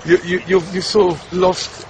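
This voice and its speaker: A man's voice: high-pitched, flat delivery, a little slow, crowd noise. A man arguing spontaneously outdoors, challenging and insistent. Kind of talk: spontaneous argument. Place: outdoors in a public business district. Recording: very noisy outdoor street recording.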